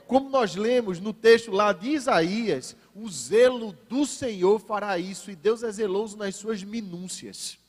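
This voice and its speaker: A man with a high-set voice and a Northeastern Brazilian accent preaches in a large church. His phrasing is deliberate and emphatic, built on repeated phrases. The hall adds a long reverb to his words. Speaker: pastor preaching at a church service. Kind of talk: sermon.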